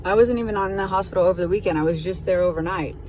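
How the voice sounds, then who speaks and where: A woman speaking hesitantly and haltingly as a caller explaining a situation on a phone call. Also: on the low side, a little mellow. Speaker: caller reporting to sheriff's department. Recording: noisy phone call, car and traffic noise.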